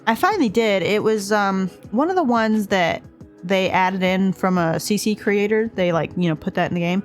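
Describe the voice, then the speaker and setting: A woman's voice: medium pitch, animated, moderate pace. A woman casually narrating a speed build of her game house, a little stuffed up from allergies, rambling and hesitant. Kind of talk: casual voice-over commentary. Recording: voice-over with faint music behind.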